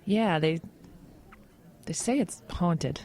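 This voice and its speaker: An actress playing a friendly, overworked small-town office worker in an audio drama, talking in a hesitant, hedging, conversational way. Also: female voice, on the low side, slow pace, mellow. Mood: reluctant, confiding.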